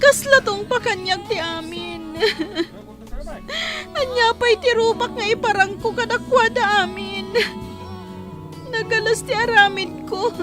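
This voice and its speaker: A woman's voice: very high-pitched, a little slow, over music. A woman acting a hurt, ashamed young adopted daughter in an Ilocano radio drama, plaintive and emotional. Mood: ashamed, distressed.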